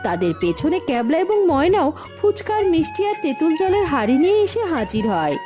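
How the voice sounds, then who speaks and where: High-pitched woman narrating a cartoon tale. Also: very animated, moderate pace, over loud music. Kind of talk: scripted storybook narration for a cartoon.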